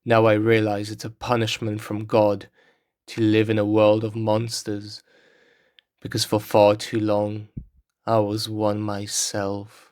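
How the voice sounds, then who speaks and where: A man's voice: on the low side, monotone, slow, a little mellow. A man playing a haunted, guilt-ridden sailor and former killer, giving a somber confession in a game cutscene. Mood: remorseful, brooding. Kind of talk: scripted game cutscene monologue.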